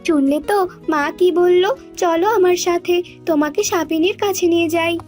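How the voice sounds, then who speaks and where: Woman as a caring young princess, very high. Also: fairly even intonation, moderate pace, a little mellow, soft background music. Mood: reassuring, resolute. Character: young princess, gentle, caring, brave daughter.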